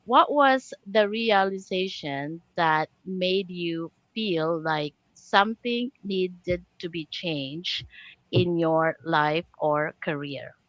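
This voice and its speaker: A woman's voice: fairly low pitch, slow pace, fairly bright tone, no echo. An older woman hosts a live online panel from home and greets each guest by name. Her voice comes through a dry, slightly muffled stream, and she stumbles and restarts now and then as she reads out her questions. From Canada.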